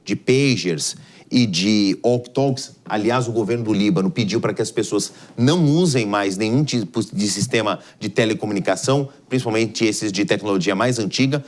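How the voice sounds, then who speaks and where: A man's voice: on the low side, moderate pace. A man with a Brazilian accent giving live news analysis in a studio, explaining things step by step.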